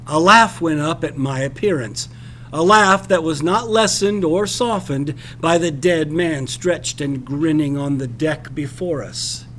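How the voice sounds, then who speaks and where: Male story reader, high-pitched, expressive and deliberate, slight background noise. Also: rough recording. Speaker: reader of a literary passage. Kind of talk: expressive scripted audiobook-style narration.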